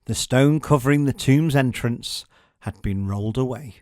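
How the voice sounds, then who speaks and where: A man reading a scripted children's story as a narrator, with dramatic, measured delivery. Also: medium pitch, a little mellow, a little hiss or hum.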